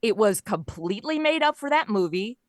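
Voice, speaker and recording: A woman speaking as an interview guest in casual chat, telling stories in an animated way and acting out quoted lines. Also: mid-range voice, moderate pace, dry recording. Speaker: guest, writer of a comedy audio show.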